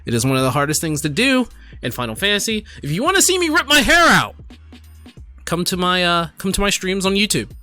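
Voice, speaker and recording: A man's voice: high voice, wildly swooping pitch, a little fast, bright, forceful tone, soft background music. A tipsy man giving casual, rambling reaction commentary as a streamer, exclaiming and addressing viewers directly. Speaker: streamer and gaming video host.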